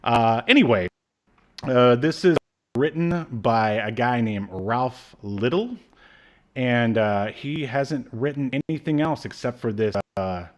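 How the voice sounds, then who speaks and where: A man in his thirties co-hosting a casual media review podcast, rambling hesitantly with dry asides. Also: mid-range voice. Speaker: podcast co-host. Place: small room at home. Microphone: video call setup.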